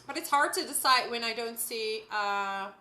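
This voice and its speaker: Dutch-born woman doing an astrology livestream, high voice, chatty, echoey room. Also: normal speed, sharp tone. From Netherlands. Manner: chatty, often checks in with "right?". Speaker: astrologer hosting a live stream. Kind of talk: casual live-stream astrology reading.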